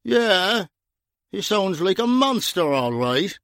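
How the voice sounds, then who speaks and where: A man voicing a blunt, forceful man with a loud, cutting way of talking. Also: high voice, big pitch swings, takes it slowly, dry recording, studio quality, pitched above the performer's own voice, full character voice. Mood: scolding. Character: blunt, forceful Dublin man in his prime.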